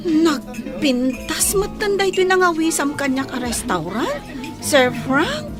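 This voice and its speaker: Radio drama role: plaintive, lovesick young housemaid, Filipino accent, very high and drawn-out, over music. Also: female voice, sing-song. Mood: hurt, pleading. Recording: music under the voice.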